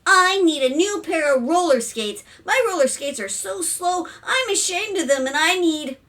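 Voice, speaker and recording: A woman voicing an excitable, whiny little girl in a storybook, dramatic, shrill and complaining. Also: normal speed, far from the mic.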